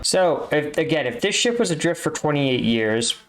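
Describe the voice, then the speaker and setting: A man's voice: fairly high pitch, lively intonation, moderate pace, soft background music, poor audio. A man hosting a reaction video, explaining things in a casual, conversational way and often checking in with the listener. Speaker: reaction video host, army veteran.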